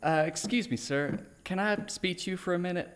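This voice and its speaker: A man's voice: sits high, a little fast, background noise, close to an everyday voice. An actor playing an eager, polite young frontier man in an audio drama, hesitant yet determined. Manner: polite, hesitant but insistent. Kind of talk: western audio drama acting.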